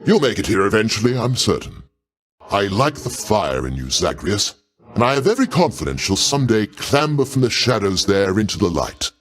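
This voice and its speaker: A mighty older god king in a video game, speaking like a proud, doting uncle. His delivery is theatrical and courtly, with pitch that swings boldly up and down. He stays genial and grandiose throughout. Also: sing-song, normal speed. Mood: grand, encouraging. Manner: grandiose, avuncular, courtly old-fashioned diction.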